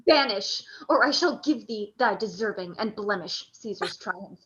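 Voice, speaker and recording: A woman's voice: medium pitch, expressive, a little slow, fairly bright tone. A woman playing a proud, wrathful military commander in a declamatory Shakespearean radio play style, on a dull lo-fi recording. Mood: betrayed fury. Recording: dull, muffled lo-fi sound.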